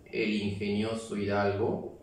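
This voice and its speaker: A man's voice: on the low side, monotone, takes it slowly, a little hiss or hum. A man teaching a grammar class, explaining step by step and checking in with the students. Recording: very echoey room, mic far off.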